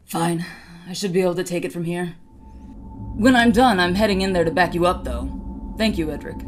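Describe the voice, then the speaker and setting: A woman's voice: fairly low pitch, brisk, faint music behind, close to an everyday voice. An actress playing a steadfast orc warrior woman in a fantasy audio drama, formal and plain-spoken. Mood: stoic. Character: steadfast female orc warrior with mace and shield.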